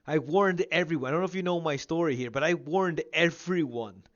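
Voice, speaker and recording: Higher-pitched man around fifty, muffled lo-fi sound. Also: fairly quick. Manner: rambling, repetitive, emphatic. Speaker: show host interviewing a guest. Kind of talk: casual livestream interview about competitive gaming.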